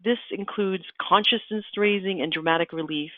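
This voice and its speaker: A woman's voice: medium pitch, normal speed. A woman from Argentina, with Spanish as her first language, presents a webinar in an explanatory way. Her voice comes through thin, phone-like audio. The sound is dry, with no room echo. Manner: explanatory, calls "next" for slides.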